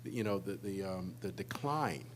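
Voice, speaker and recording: A man in the audience, low-pitched, asks a long, halting question full of ums and you-knows. A faint hum sits behind him. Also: animated. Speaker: audience member asking a question.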